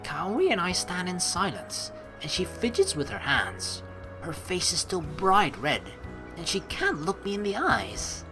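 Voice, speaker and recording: A woman hosting a let's play, reading game narration and dialogue aloud with playful, expressive commentary. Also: lowish voice, a little slow. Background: game music.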